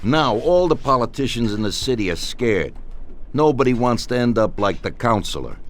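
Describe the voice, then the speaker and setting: An actor playing a calm male crime boss who lays out plans and gives orders in a video game cutscene. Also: mid-range voice, moderate pace, slight background noise, natural voice. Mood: commanding.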